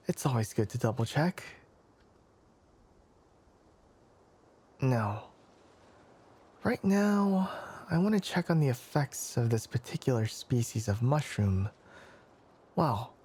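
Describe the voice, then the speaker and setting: Man acting a young forest ranger, breathy soft voice, unhurried pace, polite, bookish, measured, ASMR roleplay. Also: medium pitch, exaggerated voice. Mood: studious, musing. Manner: measured, hesitant, courteous, bookish phrasing. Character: young male forest ranger with keen animal ears, scholarly, polite.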